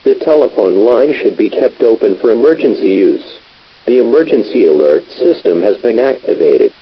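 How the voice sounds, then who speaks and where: A man playing an official radio station announcer, reading a formal, impersonal emergency notice in a husky voice. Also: lowish voice, expressive, normal speed. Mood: official, serious. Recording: dull lo-fi radio broadcast.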